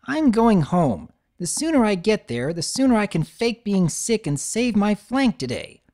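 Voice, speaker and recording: A woman voicing a brash tomboy girl pony who talks in a gruff, sarcastic, complaining way. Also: mid-range voice, sing-song, measured pace, dark tone, pitched above the performer's own voice. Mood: grumpy.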